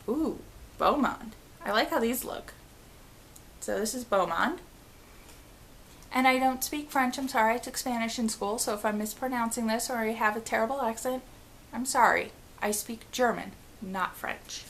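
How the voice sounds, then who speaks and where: A woman's voice: on the high side, sharp tone, slight background noise. A woman in her thirties casually talking through a board game expansion at home, reading card text aloud and trailing off mid-phrase. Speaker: vlogger. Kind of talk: casual unboxing walkthrough. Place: living room at home, indoors.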